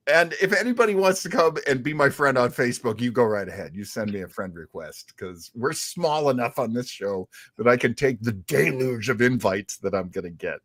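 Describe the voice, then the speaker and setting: A man hosting a radio show, telling stories in a casual, animated and deliberate way with emphatic repetition. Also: medium pitch, dry recording, studio quality. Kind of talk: casual storytelling talk show.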